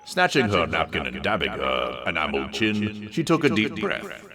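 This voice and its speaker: A man's voice: deep voice, wildly swooping pitch, normal speed, sharp tone. A man reading a story aloud in a dramatic narrating style. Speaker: story reader.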